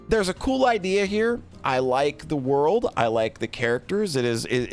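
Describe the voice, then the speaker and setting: Male streamer, medium pitch, casual halting commentary with repeated phrases, faint music bed. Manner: halting, repeats and restarts phrases while thinking aloud. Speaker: streamer reviewing games. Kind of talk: unscripted spoken review, casual commentary.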